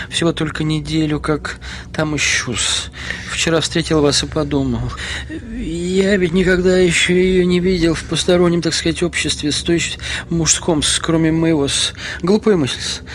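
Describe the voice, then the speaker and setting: An actor playing an obsequious, needling, self-abasing husband in a Russian radio drama, halting and wheedling with hissed deferential endings. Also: male voice, on the high side, close to an everyday voice.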